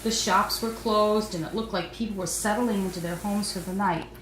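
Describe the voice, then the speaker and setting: A woman's voice: mid-range voice, steady tone, moderate pace, a bit bright. Older lady reading a picture book to kids, grandma storytime, roomy echo, little bit of background noise. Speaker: author and storyteller. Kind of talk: reading a storybook aloud to children.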